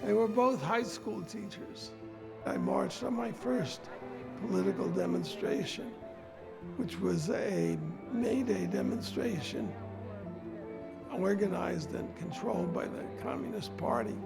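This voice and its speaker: An older man from New York speaks with a very gravelly rasp in a voice that sits higher than most men's. He tells his story reflectively, with small hesitations, over a bed of music. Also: lively intonation, measured pace. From New York, USA. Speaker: interviewee. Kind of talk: documentary interview recollection. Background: background music.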